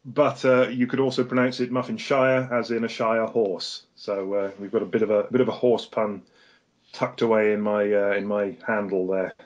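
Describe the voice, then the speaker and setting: A man from England with a Lancashire accent, a guest comic artist chatting in a podcast interview, pausing often on uh and um as he talks. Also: fairly low pitch, flat delivery, highs cut off. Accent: Lancashire English. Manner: hesitant, many "uh" and "um" fillers.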